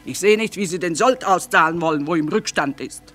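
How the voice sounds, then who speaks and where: A woman's voice: on the low side, brisk, soft background music. A woman playing a shrewd, blunt middle-aged trader and mother in a radio drama, with plain folksy, businesslike speech. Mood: sceptical, tart. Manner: sharp-tongued, businesslike, plain folksy phrasing. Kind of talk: theatrical radio drama acting.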